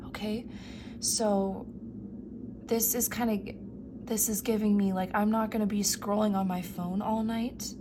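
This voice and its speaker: A woman reading tarot cards for her viewers, speaking softly and affirmingly, often slipping into a whisper, her voice going from a cough. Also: medium pitch, noisy background. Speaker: tarot reader. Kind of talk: pick-a-card tarot reading.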